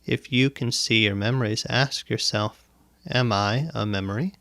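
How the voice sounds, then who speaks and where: A man guiding a meditation as a teacher, speaking in deliberate, instructive phrases with pauses and repetition, close to the microphone. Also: on the low side, a bit bright, no echo. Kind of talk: guided meditation, spoken instruction. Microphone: close microphone, dry sound.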